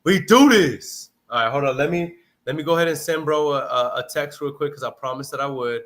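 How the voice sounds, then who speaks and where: A man who buys houses, making a live sales call and then talking excitedly with a friend, saying "bro" often. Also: on the high side, brisk. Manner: upbeat, hyped, says "bro" often. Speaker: house buyer, livestream host.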